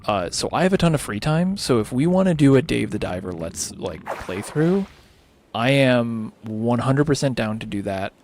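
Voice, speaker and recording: A tired man whose voice is starting to go hoarse, rambling casually and thinking aloud as he hosts a gaming livestream. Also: medium pitch, lively intonation, normal speed, a little mellow, a little hiss or hum. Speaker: livestreamer hosting a charity gaming stream. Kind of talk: casual unscripted game-stream chat.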